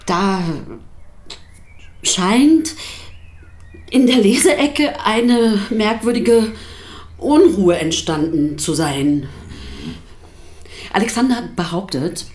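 An actress playing a pastor's wife in a radio drama, recounting strange events in detail with dry irony. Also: female voice, mid-range voice, lively intonation, extremely slow, pauses often, darkish tone, reverberant. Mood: measured, wondering.

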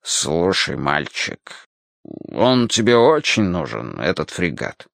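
A man's voice: on the low side, very animated, measured pace, no room sound, clean studio sound. A man voicing a bashful older sailor, hesitant and sighing, in a storybook audiobook. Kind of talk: acted audiobook dialogue.

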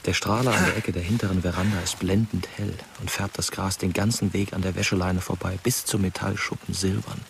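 Deep, gravelly young ghost narrator. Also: male voice, flat delivery, brisk, few pauses, background noise. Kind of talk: dramatic radio play narration.

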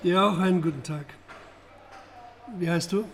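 An actor playing a calm, patient, mildly paternal police chief in a German radio crime drama, speaking in a measured, reassuring way. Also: male voice, sits high, background noise. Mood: calm, official.

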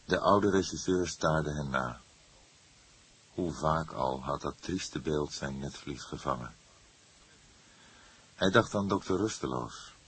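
A man narrating a crime story as an audiobook, reading in a measured, literary manner. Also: a bass voice, a bit bright, slightly rough.

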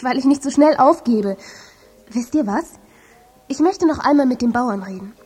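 Girl lead for a kids' audio play: very high, strongly husky, friendly and determined. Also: animated, normal speed, warm tone. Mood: eager, determined. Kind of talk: German children's audio drama acting.

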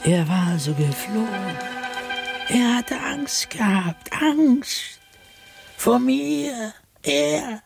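An actor playing a haunted, fearful diarist sliding into madness in a dramatic, intense radio drama monologue, at times breathy and whispering. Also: male voice, very high-pitched, expressive, drawn-out words, soft background music, heavily acted. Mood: vengeful, feverish.

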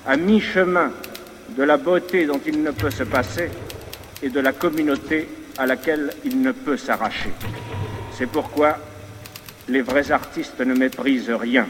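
A man's voice: medium pitch, moderate pace, a bit bright. A man giving a formal, solemn, declamatory public speech. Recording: reverberant archive speech, noisy background.

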